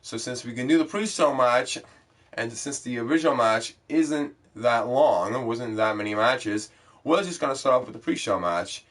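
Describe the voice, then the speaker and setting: A young man with a stuffy, congested voice from a cold, rambling loosely with plenty of fillers as he introduces his gaming stream. His pitch sits in an ordinary middle range. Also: moderate pace, a bit bright. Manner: chatty, loose, many fillers. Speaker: gaming vlogger.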